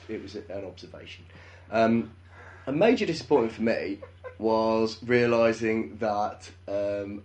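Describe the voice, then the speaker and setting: A man in his thirties from the English Midlands, co-hosting a comedy podcast with crude, deadpan rants. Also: medium pitch. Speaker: podcast co-host. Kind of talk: casual comedic chat.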